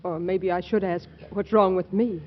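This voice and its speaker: An actress playing an impatient, lovestruck new bride with an arch, formal way of talking, in a 1940s radio comedy. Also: female voice, on the low side, fairly even intonation, normal speed, faint music behind, close to an everyday voice. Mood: amorous. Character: impatient, lovestruck new bride, haughty toward her rival. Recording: muffled, noisy old radio recording.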